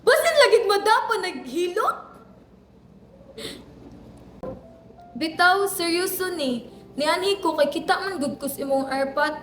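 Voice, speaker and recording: A woman's voice: very high voice, lively intonation, moderate pace, a bit bright, faint music behind. A woman playing a teasing, lovestruck young friend in an amateur radio drama, playful and full of exclamations. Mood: coaxing concern. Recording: echoey room, faint background noise.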